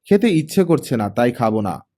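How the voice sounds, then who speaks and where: A man's voice: mid-range voice, sing-song, normal speed, warm tone. A man voicing a weary, irritable young mother in an audiobook, speaking curtly and stubbornly. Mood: tired, stubborn. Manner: curt, stubborn.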